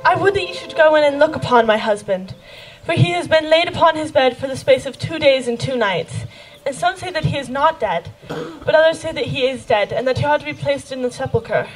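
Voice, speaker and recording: High-voiced reverent queen, played by a young woman. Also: brisk, hardly any pauses, a little hiss or hum, close to an everyday voice, low-quality recording. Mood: earnest, reverent. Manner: formal, archaic recitation. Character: reverent queen pleading for her sick husband. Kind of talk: amateur scripture pageant acting. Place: outdoor wooded stage. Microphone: handheld microphone.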